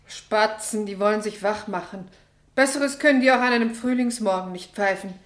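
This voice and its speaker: An actress playing a brisk, sharp-tongued, grumbling housewife in a German radio play. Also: female voice, mid-range voice, close to an everyday voice. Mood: brisk, irritated. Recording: echoey room, faint noise, ticking.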